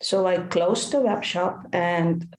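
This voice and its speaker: A woman's voice: on the low side, slow, roomy sound, rough recording. A Dutch woman, a native Dutch speaker, telling her story earnestly as a podcast guest. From Netherlands. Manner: earnest, animated retelling with repeated phrases. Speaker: guest, caregiver coach and author.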